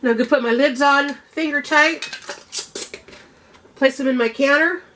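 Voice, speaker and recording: A middle-aged woman chatting through a home canning tutorial in a homey way, her voice worn and clearing her throat as she is losing it. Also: high-pitched, normal speed, fairly bright tone, a little hiss or hum. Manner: homey, chatty instructions to viewers. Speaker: home cook host.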